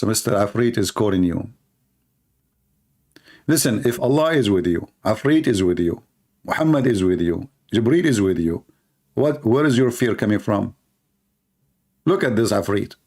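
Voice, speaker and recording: A man's voice: on the low side, expressive, moderate pace, long pauses, darkish tone. A man hosting a live stream, talking in a sarcastic, mocking way and mimicking others in funny voices. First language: Arabic.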